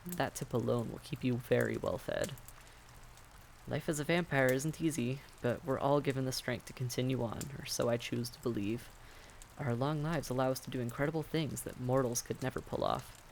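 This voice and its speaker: A man playing a friendly, patient vampire innkeeper in a roleplay audio drama, giving gentle, folksy, reassuring advice straight to the listener. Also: mid-range voice, moderate pace, gravelly, a little hiss or hum, lightly acted. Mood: advising, encouraging. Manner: gentle, folksy, politely advising.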